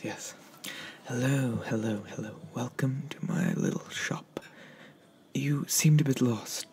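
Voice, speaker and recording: A man speaking softly in a breathy whisper close to the microphone, slow and courteous, roleplaying an eager weapons merchant for ASMR. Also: medium pitch. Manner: slow, hesitant, courteous sales patter. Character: polite, eager male weapons merchant obsessed with swords.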